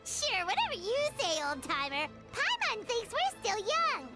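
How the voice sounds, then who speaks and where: A woman's voice: extremely high pitch, sing-song, measured pace, over loud music, heavily acted. A woman voicing a small, childlike, cheeky fairy companion in an English video game, full of exclamations. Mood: teasing, chirpy. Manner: exclamatory, refers to herself in third person.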